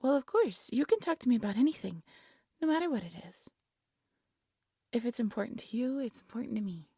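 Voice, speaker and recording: A woman playing a devoted, lovesick exiled alchemist in an intimate fantasy audio roleplay, tender and soft-spoken, often dropping into a whisper. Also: on the high side, sing-song, fairly quick, slightly rough, dry recording, studio quality, heavily acted. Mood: tender concern. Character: devoted, lovesick exiled alchemist practising dark magic, gentle.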